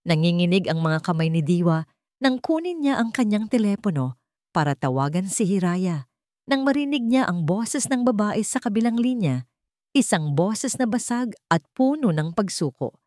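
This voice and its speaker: A woman narrating a scripted drama story, phrasing it dramatically and deliberately in short pieces. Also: mid-range voice, big pitch swings, darkish tone, no room sound, studio quality.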